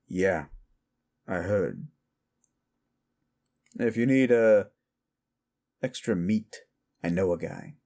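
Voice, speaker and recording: A man's voice: low voice, animated, extremely slow, pauses often, a little mellow, full character voice. A man voicing an easygoing, wry and knowing bartender in an audio drama. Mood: easygoing, helpful. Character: easygoing, wry male bartender of monster sanctuary bar. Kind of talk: amateur audio drama dialogue.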